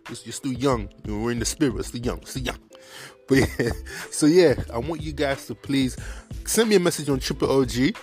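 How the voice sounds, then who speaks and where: A man's voice: medium pitch, moderate pace, darkish tone, soft background music. A young man hosting a podcast, talking casually about faith in an earnest, encouraging way, full of fillers and repeated phrases. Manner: earnest, repetitive, encouraging, preachy; heavy fillers like "like" and "you know what I mean". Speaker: podcast host. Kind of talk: casual faith talk to viewers.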